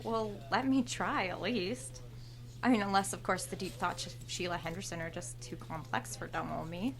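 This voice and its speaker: An actress playing a sardonic, self-assured store cashier of thirty in a horror audio drama. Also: female voice, medium pitch, fairly even intonation, normal speed, fairly bright tone, faint music behind, lightly acted. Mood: defiant. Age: 30s. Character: brazen, entitled thirty-year-old store cashier, sly confidante.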